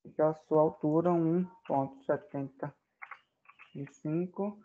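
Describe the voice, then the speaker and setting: A man's voice: a little high, steady tone, takes it slowly, narrow, tinny audio, slight background noise. A man walking through a program as he runs it, thinking aloud and checking with the class. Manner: thinks aloud while typing inputs, frequent "né?". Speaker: student presenting code. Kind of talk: live coding walkthrough.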